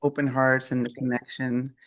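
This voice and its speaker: Relaxed podcast host, casual man. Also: medium pitch, normal speed, rough recording. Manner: relaxed, casual, affirming interjections. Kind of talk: casual conversational interview.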